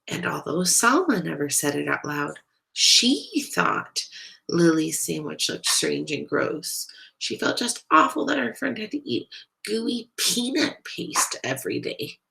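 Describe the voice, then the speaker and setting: A woman reading a picture book aloud to young children in an expressive, deliberate way, as a storyteller. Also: on the low side, creaky, low-quality recording. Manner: animated, deliberate, pauses to ask listeners questions.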